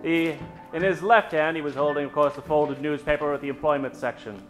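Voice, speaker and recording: A man's voice: fairly high pitch, steady tone, brisk. A man narrating an old-time radio comedy as a storyteller. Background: music.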